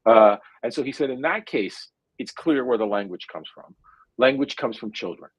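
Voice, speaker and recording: A man's voice: mid-range voice, animated, breathy edge, no room sound, lo-fi sound. A man in his forties with a New Jersey accent, thinking aloud as a guest scientist in an interview, with lots of "uh" fillers. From India. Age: 40s. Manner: thoughtful, hesitant, full of drawn-out "uh" fillers. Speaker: guest neuroscientist. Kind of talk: conversational interview answer.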